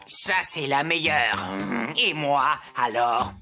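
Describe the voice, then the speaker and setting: A woman's voice: a bass voice, slow pace, pushed and bright, heavily acted. A woman voicing a jealous, grumpy pet cat who grumbles slyly and theatrically in a children's cartoon. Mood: grumpy, indignant. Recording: music behind the voice.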